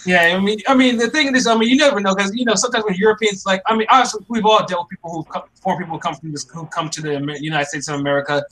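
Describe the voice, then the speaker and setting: Guy on a podcast, kinda high voice, stop-start, cracking jokes. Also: steady tone, pushed and bright, low-quality recording. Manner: halting, many restarts, joking. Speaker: podcast co-host. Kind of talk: casual chat.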